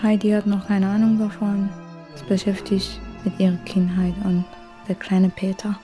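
Mellow woman retelling a story, even pitch. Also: normal speed, soft background music. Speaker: participant retelling a film story for a radio play.

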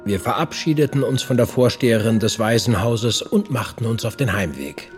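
A man playing an earnest period investigator who narrates his own case in a measured, literary audio drama style. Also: mid-range voice, lively intonation, a little mellow, soft background music, lightly acted. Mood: suspenseful narration.

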